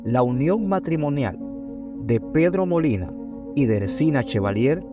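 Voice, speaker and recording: A man reading a scripted biographical narration in a formal, measured way, in short phrases. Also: on the high side, animated, highs cut off. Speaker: documentary narrator. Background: background music.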